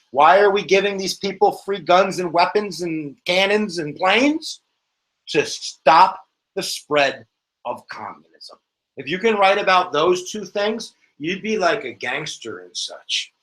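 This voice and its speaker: A man with an American accent teaching a casual, joking exam review lesson in a slangy, animated way. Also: high voice, unhurried, rough recording. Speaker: history teacher.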